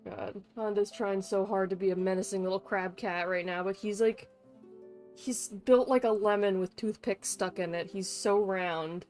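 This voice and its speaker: A woman's voice: medium pitch, steady tone, moderate pace, darkish tone. Casual, playful female game streamer. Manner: informal, rambling, playful teasing, swears. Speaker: livestreamer. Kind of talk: casual gaming stream chat. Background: music in the background.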